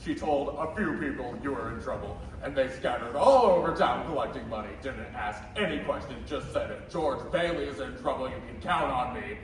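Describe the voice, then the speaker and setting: A young man acting in a school radio play as a devoted, excitable family friend bursting with news. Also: medium pitch, normal speed, few pauses, natural voice. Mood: elated. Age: young adult. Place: school theatre stage. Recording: very echoey hall, distant microphone.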